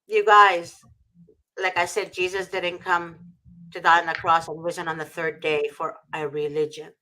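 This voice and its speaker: A woman's voice: on the low side, level tone, normal speed, bright, forceful tone, poor audio. A middle-aged woman from Egypt speaking fervently about her faith, repeating phrases for emphasis. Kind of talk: impassioned faith talk.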